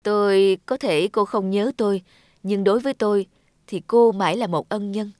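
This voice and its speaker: A woman voicing a humble, earnest former beggar man in a fairy-tale cartoon, speaking in a measured, polite way. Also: medium pitch, fairly even intonation, darkish tone, no room sound, clean studio sound, lightly acted. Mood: earnest, grateful.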